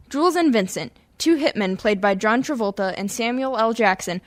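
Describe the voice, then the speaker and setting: A woman hosting a radio comedy, reading scripted introductions. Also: a little high. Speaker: host.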